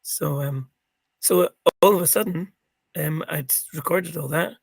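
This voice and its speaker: A middle-aged man talks as a guest in a casual interview, his voice croaky and gravelly with a husky edge. He sits a little higher in pitch than most men and rambles with plenty of ums and restarts. The sound is dry and close, with no room echo. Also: measured pace.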